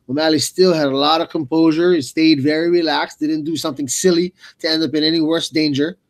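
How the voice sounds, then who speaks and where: Male colour commentator, slightly high voice, dry studio-like sound, colloquial fight analysis. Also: dry recording.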